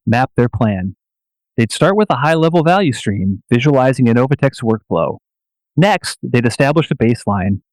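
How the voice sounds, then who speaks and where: A man delivers scripted dramatic narration with solemn, deliberate phrasing. His voice sits at a medium pitch and is recorded close, with very little room sound. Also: a little mellow, no room sound, poor audio.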